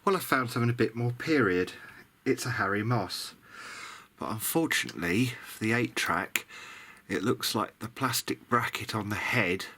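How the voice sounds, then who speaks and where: A man's voice: on the low side, animated, normal speed, sharp tone. A British man giving a casual, muttering commentary as he works on a repair, mildly grumbling and self-deprecating. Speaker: hobbyist electronics video diarist.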